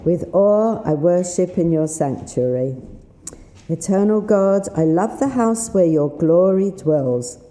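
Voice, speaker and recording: A woman with a slightly husky voice, leading a synagogue service in a chatty, unhurried way with fillers. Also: fairly low pitch, animated, mellow. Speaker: service leader. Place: synagogue sanctuary. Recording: roomy sanctuary sound with faint noise.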